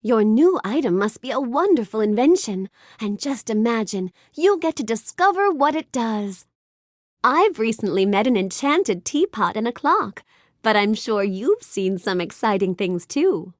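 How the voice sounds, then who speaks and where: A woman playing a sweet, curious, bookish young fairy-tale heroine in a video game, speaking with gentle, courteous wonder. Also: a little high, unhurried, dry recording, clean studio sound. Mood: cheerful, excited. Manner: gentle, wonder-filled, courteous, expressive delivery. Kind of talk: animated game character dialogue.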